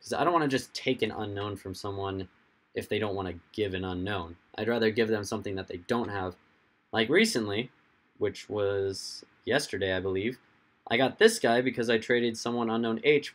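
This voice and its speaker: A young man with a hoarse, fading voice, hosting a casual livestream, rambling and answering viewers' chat comments as they come in. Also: fairly low pitch, a bit bright. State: hoarse, losing his voice. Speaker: livestream host.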